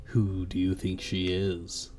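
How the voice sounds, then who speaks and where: Guy doing a creaky old man on the phone in a game, calm but pushy and shady, low voice, music behind. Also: slow. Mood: calm, probing.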